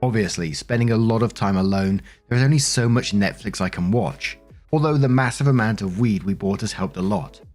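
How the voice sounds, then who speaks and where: Male narrator, lowish voice, chatty story-reading host with casual asides, music underneath. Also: brisk, a little mellow. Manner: chatty, conversational. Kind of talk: reading online stories aloud with casual commentary.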